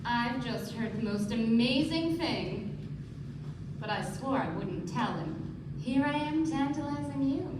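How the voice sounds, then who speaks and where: A woman's voice: on the high side, expressive, a little slow, background noise. A woman playing a breezy, gossipy young society woman in a radio play on stage. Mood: breezy, teasing. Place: theater stage before an audience. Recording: echoey stage, distant mic, music behind.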